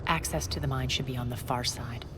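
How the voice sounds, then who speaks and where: Low, imperious alien crime queen. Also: steady tone, very noisy. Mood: brisk, dismissive. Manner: haughty, cool, deliberate. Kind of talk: video game voice acting.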